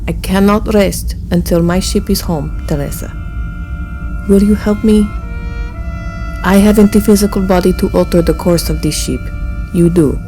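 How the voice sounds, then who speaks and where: A woman playing the gentle, sorrowful ghost of an honorable alien officer in a sci-fi audio drama, earnest and solemn. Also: mid-range voice, normal speed, pauses often, faint music behind, lightly acted. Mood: pleading.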